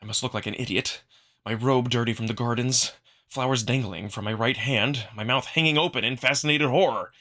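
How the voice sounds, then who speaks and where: A man giving an expressive, dramatic first-person narration of a visual novel, acting out the narrator's feelings. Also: mid-range voice, a little fast. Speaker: narrator, amateur voice performer.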